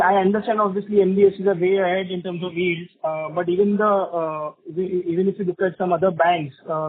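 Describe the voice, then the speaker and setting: A man's voice: high voice, husky. A man calling in as an analyst to ask a question on an earnings call, in a hesitant manner. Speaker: analyst caller. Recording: tinny, radio-like phone line.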